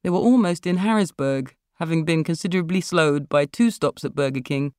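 A woman narrates literary fiction as its author. Her voice is on the low side, and the recording is close and dry. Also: brisk, darkish tone, smooth, no echo. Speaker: author reading own fiction.

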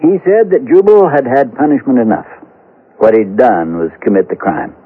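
Tinny old radio drama: actor playing grave, folksy Westerner, husky mid-range voice, measured delivery. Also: male voice, medium pitch, natural voice. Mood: sombre, reflective. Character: knowing, grave Westerner explaining a grim tale. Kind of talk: 1970s radio western acting. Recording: tinny, narrow-band vintage radio recording.

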